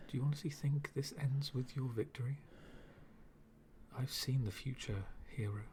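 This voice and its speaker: A man playing a bitter, menacing villain in an ASMR roleplay, speaking in a brooding, dramatic, half-whispered way with long pauses. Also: mid-range voice, darkish tone, a little hiss or hum, close to an everyday voice. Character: fallen-world villain, male, bitter, menacing, coldly philosophical.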